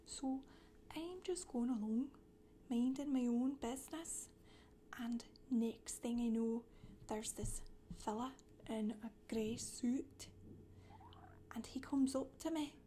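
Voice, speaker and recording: A woman in her thirties playing a chatty Scottish witch in soft-spoken, breathy, deadpan ASMR storytelling with a put-on Scottish accent. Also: fairly high pitch, slow pace, long pauses, a little hiss or hum. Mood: casual, confiding. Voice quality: breathy, whispery. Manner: hesitant, colloquial, deadpan.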